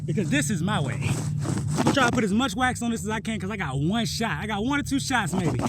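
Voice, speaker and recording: A young man with a slightly high voice talks to the camera like a friend, mixing street slang with thoughtful asides. Loud background noise runs under the whole recording. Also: animated, fast talker. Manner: slangy, reflective, talks straight to the viewer. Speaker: travel vlogger. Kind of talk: casual vlog narration.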